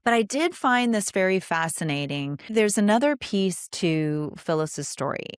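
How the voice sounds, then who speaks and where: A woman's voice: medium pitch, animated, a little slow, clean tone, dry recording, studio quality. A woman hosting a conversational interview, speaking in an emphatic, enthusiastic way. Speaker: podcast host interviewing an author.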